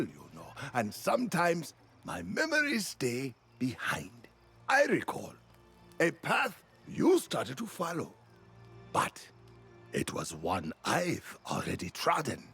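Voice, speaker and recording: An actor playing a cryptic, forgetful old hermit in a video game, musing in riddles with halting phrases. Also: male voice, high voice, creaky, fairly bright tone, slightly rough, heavily acted. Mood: wistful. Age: older. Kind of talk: video game character dialogue.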